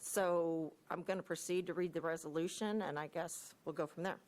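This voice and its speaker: A soft-spoken American Midwestern woman, a county director presenting at a commission meeting on a desk microphone, hesitant and full of fillers. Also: on the low side, steady tone, normal speed.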